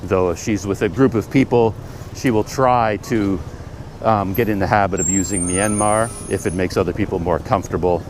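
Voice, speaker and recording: A Canadian man talking casually to his camera in a busy outdoor market, thinking aloud with plenty of pauses and fillers. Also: deep voice, fairly even intonation, a little mellow. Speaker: travel vlogger. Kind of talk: casual talk to camera while walking. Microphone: camera mic. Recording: street noise around the camera. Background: traffic and trucks passing.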